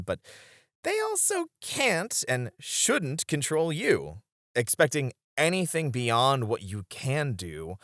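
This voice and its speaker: Man playing a flirty, slow-talking, expressive club host. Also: mid-range voice, no echo, studio quality. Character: flirty, supportive male club host comforting his friends. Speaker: voice actor in an ASMR roleplay. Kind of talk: ASMR roleplay acting.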